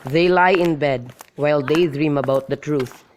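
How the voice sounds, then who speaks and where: A man's voice: on the high side, normal speed, close to an everyday voice. A young man in an amateur radio drama, playing a melancholy, lovesick youth in an earnest, mournful manner. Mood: reluctant. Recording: clearly noisy amateur recording with clicks.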